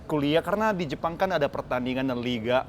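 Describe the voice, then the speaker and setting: A man's voice: medium pitch, expressive, fast, slight background noise. A man from Japan, a guest speaking casually and with enthusiasm in an interview, laughing often. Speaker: guest, actor and musician.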